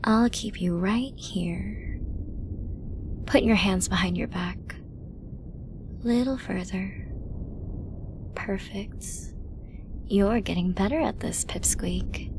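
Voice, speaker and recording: A woman's voice: medium pitch, slow pace, pauses often, background noise. A woman playing a flirty, dominant villain who taunts a hostage in an ASMR roleplay, teasing and menacing, often dropping toward a whisper. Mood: commanding, teasing. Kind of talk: ASMR roleplay audio drama.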